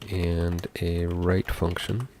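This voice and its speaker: Man, dark mellow tone, unhurried, halting live-stream chatter. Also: deep voice, level tone, a little hiss or hum. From Seattle, USA. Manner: thinks aloud, trailing off with many ums. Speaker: game developer streaming live. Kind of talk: casual live-coding talk.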